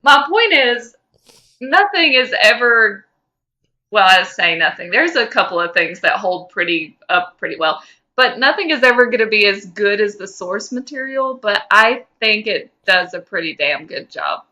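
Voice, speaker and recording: A woman's voice: mid-range voice, big pitch swings, normal speed, sharp tone. A woman co-hosting a podcast in casual fan chat, speaking in an emphatic, opinionated way. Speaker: podcast co-host.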